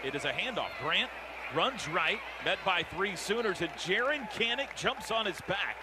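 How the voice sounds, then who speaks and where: An American man calling football play-by-play on the radio in clipped phrases, getting excitable on big plays. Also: high voice, normal speed, crowd noise. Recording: very noisy stadium radio broadcast. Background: loud stadium ambience.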